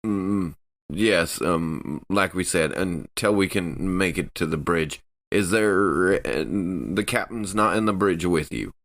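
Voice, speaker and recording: A man acts out a crewman in a sci-fi tabletop game. The voice is deep and the delivery halting, urgent and a little bewildered, with phrases repeated. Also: a bit bright, exaggerated voice. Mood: wary, halting.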